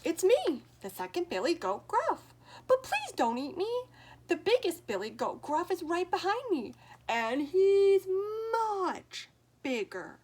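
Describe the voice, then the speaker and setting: A woman's voice: very high voice, huge pitch swings, moderate pace, a little hiss or hum, voice pushed up, full character voice. A woman voicing the middle-sized male billy goat, pleading anxiously not to be eaten. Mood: nervous pleading.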